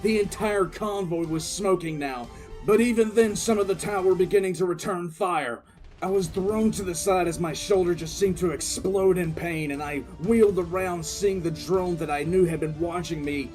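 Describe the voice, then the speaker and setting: A man's voice: high-pitched, darkish tone, lightly acted. Man playing an intense war-hardened commissar, music behind. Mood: intense, fierce. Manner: intense, theatrical, drawn-out emphatic delivery. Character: battle-hardened male military commissar recounting a bloody ambush with relish. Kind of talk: dramatic first-person audio drama narration.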